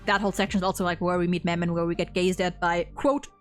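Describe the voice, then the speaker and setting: A woman's voice: fairly low pitch, flat delivery, quick pace, soft background music. A woman in casual gaming fan chat, co-hosting with effusive delivery and frequent swearing. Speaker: co-host.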